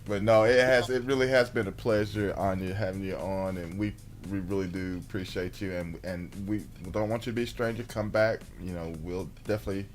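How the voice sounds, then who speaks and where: A man's voice: lowish voice, fairly even intonation, fairly quick, hardly any pauses, soft background music. A man hosting an internet radio talk show, thanking his guest in an easygoing, affirming way. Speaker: host.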